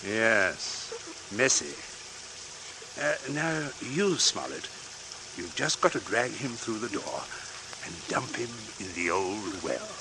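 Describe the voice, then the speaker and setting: A man playing a cold, murderous father in an old radio drama, giving measured, commanding threats. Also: lowish voice, animated. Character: cold, resourceful murderous father coolly blackmailing at gunpoint. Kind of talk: 1940s radio acting. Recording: noisy vintage radio drama recording.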